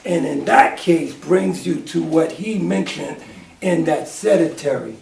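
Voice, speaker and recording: A man taking part in a faith-based group discussion, speaking reflectively and growing animated as he recalls his past. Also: fairly high pitch, measured pace, gravelly, a little hiss or hum. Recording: echoey room, distant mic.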